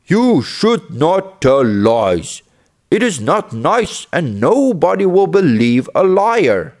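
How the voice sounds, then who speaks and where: Man acting stern, slow-spoken scolding villager. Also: on the high side, sing-song, darkish tone, pitched above the performer's own voice. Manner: slow, emphatic moralizing.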